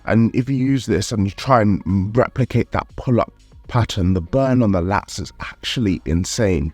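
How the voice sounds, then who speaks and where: Male fitness vlogger, lowish pitch, slightly nasal, candid and upbeat voice-over, dry close mic with quiet music. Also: no echo. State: nasal, recovering from a cold. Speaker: calisthenics vlogger. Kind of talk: voice-over vlog narration.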